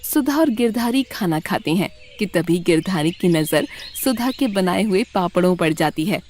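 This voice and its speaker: A woman giving scripted narration as the storyteller of an animated tale. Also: lowish voice, moderate pace, slight background noise.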